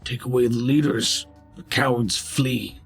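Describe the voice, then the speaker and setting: A man voicing a blunt, ruthless adventuring companion in a tabletop game, terse and emphatic, with a raspy tone. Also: mid-range voice, fairly even intonation, a little slow. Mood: stern, merciless. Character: blunt, ruthless companion urging death to bandits. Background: faint music.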